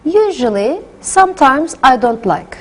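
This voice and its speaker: High, lively woman speaking simple sentences. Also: unhurried. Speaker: language learner in class role-play.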